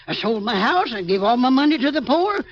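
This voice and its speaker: Folksy, swooping doomsday believer. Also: male voice, very high-pitched, very animated, fairly quick. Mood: rapturous. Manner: folksy, pious, preachy. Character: devout, simple male cultist awaiting doomsday in rapture. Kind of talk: 1950s radio drama acting. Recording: muffled old radio recording.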